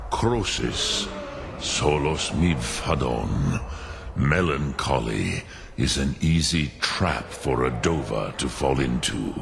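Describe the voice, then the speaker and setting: A man playing an ancient, wise and melancholy dragon in a fantasy video game, with a gravelly voice and solemn, deliberate, archaic speech full of pauses. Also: rumbling low, exaggerated voice. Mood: reflective.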